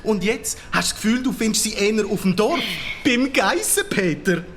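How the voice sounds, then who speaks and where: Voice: medium pitch, lively intonation, moderate pace, a bit bright, slight background noise. A performer voicing a cocky, boastful city cockroach in a children's radio play, talking swaggering hip-hop slang with a Swiss German accent. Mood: boastful, exasperated. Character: cocky streetwise city cockroach, slangy braggart, easily riled.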